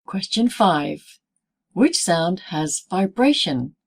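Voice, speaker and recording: A woman presenting a scripted pronunciation lesson as a teacher, speaking in deliberate, clearly segmented phrases. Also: lowish voice, animated. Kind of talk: scripted instructional narration in easy English.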